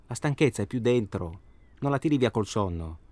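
A man's voice: on the low side, animated, moderate pace, darkish tone, no room sound, close to an everyday voice. An actor playing a weary, restless man tormented by his job, who rambles in an agitated, sardonic, naturalistic way. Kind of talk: naturalistic radio drama dialogue.

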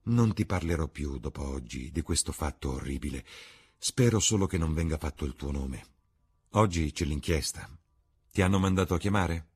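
Guy doing earnest painter in an audiobook, deep husky voice, devoted friend, a bit reproachful. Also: animated, normal speed, darkish tone, exaggerated voice. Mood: gentle, sad. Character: earnest, devoted older male painter friend, gentle but indignant. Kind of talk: audiobook character acting.

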